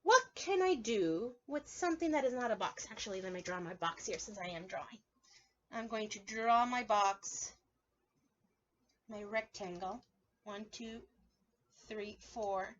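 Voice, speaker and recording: A woman's voice: medium pitch, pushed and bright, dull audio, slight background noise. A woman preschool teacher reading aloud and leading a drawing lesson for young children, playful and encouraging, often asking her listeners questions.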